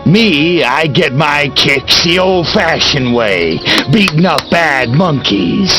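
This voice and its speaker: Cocky, drawling alien invader. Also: male voice, on the high side, lively intonation, takes it slowly, music in the background. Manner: sarcastic, slangy, smug wisecracks. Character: cocky small gray male alien invader, wisecracking and destructive. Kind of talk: video game cutscene acting.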